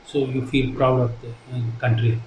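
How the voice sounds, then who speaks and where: An older man from India with an Indian accent, whose first language is Hindi, answering interview questions in a halting, earnest way with many fillers and restarts. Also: medium pitch, little pitch movement, mellow. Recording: echoey room with faint noise.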